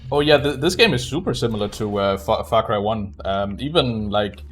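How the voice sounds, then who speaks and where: Low-voiced man, casual gameplay commentary. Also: animated, moderate pace, faint music behind. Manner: chats to viewers while playing. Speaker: speedrunner streaming live.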